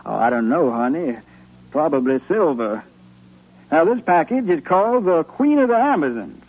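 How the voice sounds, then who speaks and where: An actor playing a kindly, amused old grandfather with a husky voice, hesitant and indulgent, in a 1950s radio drama. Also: on the high side, very animated, moderate pace. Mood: gently teasing. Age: older. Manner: hesitant, chuckling, indulgent with children. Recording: muffled, tinny 1950s radio recording.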